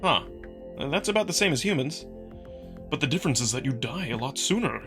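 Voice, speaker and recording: A man's voice: mid-range voice, animated, fairly quick, fairly bright tone, soft background music. A man playing a curious, frank young human in a visual novel reading. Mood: frank, casual. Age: young adult.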